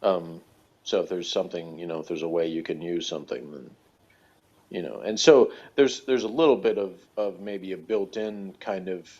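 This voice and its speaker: A man chatting casually as a review co-host, thinking aloud in a halting, rambling way with small chuckles. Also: deep voice, a little mellow. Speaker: podcast co-host reviewing a TV series. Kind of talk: casual chat, rambling analysis. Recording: dull, lo-fi audio.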